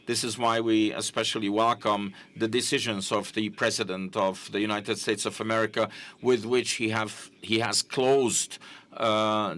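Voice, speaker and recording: Guy interpreting a press conference live, lowish voice, lots of uhs and restarts. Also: a bit bright. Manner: halting, with frequent fillers and self-corrections.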